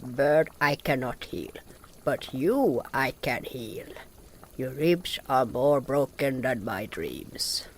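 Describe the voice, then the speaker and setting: Slow, singsong amphibian healer. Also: male voice, mid-range voice, expressive, slight background noise, pitched above the performer's own voice. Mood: blunt, calm. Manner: slow, broken simple phrasing. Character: old amphibian healer creature, placid, blunt, absent-minded. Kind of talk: audio drama character acting.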